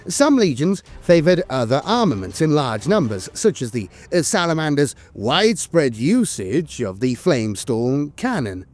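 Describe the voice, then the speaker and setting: A man reading scripted lore narration as an in-world chronicler, formal and measured, with frequent hesitations. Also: mid-range voice, darkish tone.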